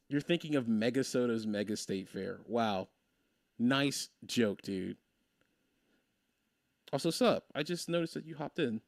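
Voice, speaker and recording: A young man in his twenties chatting on a game stream, rambling through his thoughts and laughing often. His voice is mid-pitched and sits close and dry on the mic, with no room sound around it. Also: expressive, slow pace, creaky, long pauses, dry recording. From Maryland. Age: 20s. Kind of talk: casual chat on a game stream.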